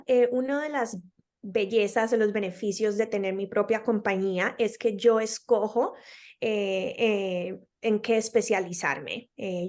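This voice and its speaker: A woman with a Colombian accent speaks at a mid pitch as a guest on an interview show. She explains patiently and walks through examples, pausing often on hesitant fillers. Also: a little mellow. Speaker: guest, speech-language pathologist.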